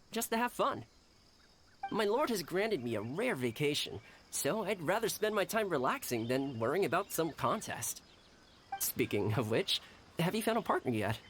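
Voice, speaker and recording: Easygoing, animated young retainer. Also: male voice, a little high, slight background noise. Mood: playful, then friendly, encouraging. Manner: friendly, relaxed, teasing. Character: easygoing, friendly young male retainer, upbeat and polite. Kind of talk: video game cutscene acting. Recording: game music behind the voice.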